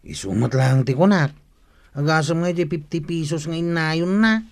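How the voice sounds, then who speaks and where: A man's voice: a little high, normal speed, creaky. A man playing a plain-spoken village customer in a comedic radio drama, with a Northern Philippine accent and an indignant, explaining manner. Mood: advising, exasperated.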